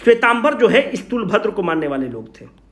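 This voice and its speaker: A man with a high voice and a Bihari Hindi accent gives an informal exam revision lecture. He poses questions and answers them himself, repeating the key points emphatically in everyday language. The room around him adds a strong echo. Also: sing-song, brisk. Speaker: exam coaching teacher. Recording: echoey room.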